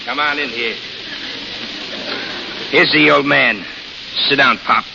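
A menacing henchman in an old gangster radio play. The voice is creaky and gravelly, the talk tough and slangy. The recording is dull and noisy. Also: mid-range voice, takes it slowly. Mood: tough, threatening. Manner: tough, slangy, clipped orders. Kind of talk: 1930s radio acting.

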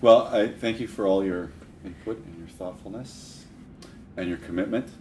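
A man's voice: deep voice, normal speed, darkish tone. A man facilitating a small group meeting, speaking to the participants. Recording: echoey room with clear background noise.

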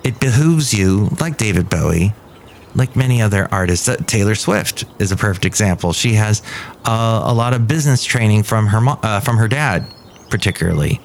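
A man's voice: fairly low pitch, lively intonation, normal speed, a little hiss or hum. A middle-aged man hosting a podcast in a café, talking in a rambling, chatty way that keeps interrupting itself and drifting off topic. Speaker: podcast host. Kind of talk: rambling casual monologue.

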